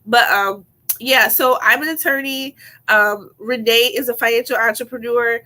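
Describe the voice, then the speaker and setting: A woman's voice: fairly high pitch, steady tone, moderate pace, pushed and bright. Congested woman attorney co-hosting. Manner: casual, hesitant, with many fillers. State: congested from a cold. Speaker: attorney co-host. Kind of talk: live online talk show.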